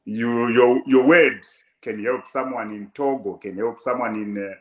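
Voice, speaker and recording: A man's voice: medium pitch, steady tone, a little slow, echoey room. A man from Zimbabwe with a Zimbabwean accent hosting a live interview, asking probing, theatrical questions. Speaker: talk show host.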